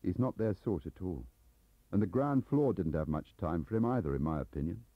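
A man's voice: deep voice, lively intonation, brisk, breathy edge, no echo, exaggerated voice. A man voicing an aggrieved, lonely middle-aged English clerk who rambles defensively, in an audiobook on a dull cassette recording. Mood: wistful, confessional.

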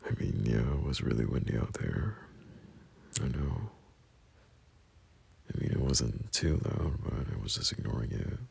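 A man playing a gentle, teasing young boyfriend in an intimate ASMR roleplay, speaking softly and breathily, often half-whispering in a hesitant, soothing way. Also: rumbling low, big pitch swings, unhurried, creaky, pauses often, breathy edge, exaggerated voice. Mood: soft, relaxed. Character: gentle, teasing young boyfriend comforting his scared partner. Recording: faint background hiss.

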